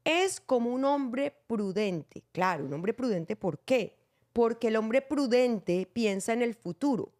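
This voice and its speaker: A woman's voice: on the high side, expressive, slow, darkish tone. Earnest woman explaining Bible teaching. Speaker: host.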